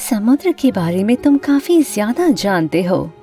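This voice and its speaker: A woman voicing an alluring, mysterious young teacher in an animated story, speaking in a smooth, composed, coaxing manner. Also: medium pitch, a little slow, pitched below the performer's own voice. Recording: music bed under the voice.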